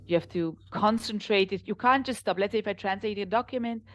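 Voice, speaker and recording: A woman with an Israeli accent, a translation company founder, chatting in an interview and telling animated stories with laughs. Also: mid-range voice, a little fast. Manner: animated storytelling, laughs while talking. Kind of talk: casual interview conversation.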